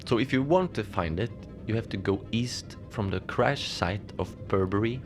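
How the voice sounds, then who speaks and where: A man playing a mild, lonely Antarctic station manager in a cozy horror audio drama, speaking in a hesitant, understated deadpan as he logs his shift. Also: on the low side, normal speed, music in the background, close to an everyday voice. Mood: calm, matter-of-fact. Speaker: narrator of a fiction podcast. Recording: noisy ambient bed behind the voice.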